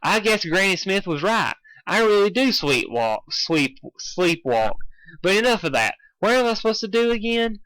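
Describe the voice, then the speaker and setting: A man's voice: high-pitched, very animated, normal speed, a bit bright, no room sound, voice pushed up, poor audio. A man voicing a folksy, muddled young female farm pony in a cartoon fan story. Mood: sheepish.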